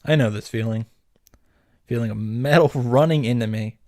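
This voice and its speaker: A man's voice: medium pitch, moderate pace, dark tone, dry recording, studio quality, close to an everyday voice. A man voicing a desperate teenage boy fighter in a dramatic visual novel reading. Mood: grim. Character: desperate teenage boy fighter narrating his near death. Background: background music.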